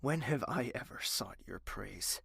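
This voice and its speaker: A man's voice: medium pitch, expressive, a little slow, gravelly, a bit bright, husky, no echo, clean studio sound, exaggerated voice. An actor playing a weary, bitter young man who narrates his own story and snaps into anger. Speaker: narrator and lead character.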